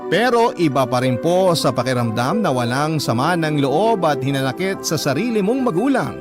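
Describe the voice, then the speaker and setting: A man with a Filipino accent reading a listener's letter as a heartfelt, dramatic radio storyteller. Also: on the high side. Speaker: radio show host and narrator.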